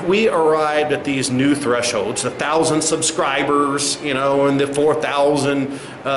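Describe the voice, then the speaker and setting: A man playing a condescending, contemptuous corporate spokesman in a satirical comedy sketch, talking in halting mock-official phrases. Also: on the high side, fairly bright tone, reverberant, a little hiss or hum, low-quality recording. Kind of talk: satirical press conference acting.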